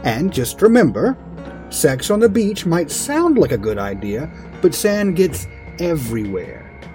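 A man's voice: fairly high pitch, big pitch swings, a little slow, darkish tone, faint music behind, pitched above the performer's own voice. A man voicing an emphatic friend who gives cautioning advice in a dramatic story reading. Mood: emphatic warning. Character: remembered friend earnestly warning about beach sand.

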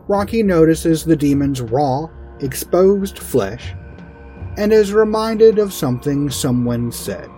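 Slow, animated male story narrator. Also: mid-range voice. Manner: theatrical, deliberate. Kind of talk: dramatic fantasy story narration, comic.

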